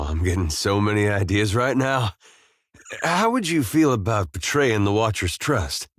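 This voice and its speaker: An actor voicing an easygoing, wry and weary farmer turned soldier in a video game, with a folksy, plain-spoken drawl. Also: male voice, on the low side, dry recording, studio quality. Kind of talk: video game companion dialogue.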